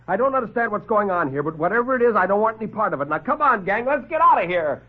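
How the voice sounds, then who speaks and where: A man's voice: sits high, big pitch swings, fast, voice pushed up. A man playing a tough, hot-headed lieutenant with a blunt, slangy, challenging way of talking in classic radio thriller style. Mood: angry, alarmed. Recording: muffled old radio broadcast recording.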